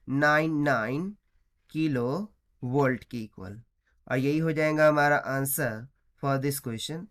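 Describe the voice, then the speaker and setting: A man's voice: fairly high pitch, fairly bright tone. A man with an Indian accent working through a physics problem step by step as a tutor, explaining as he mixes Hindi and English.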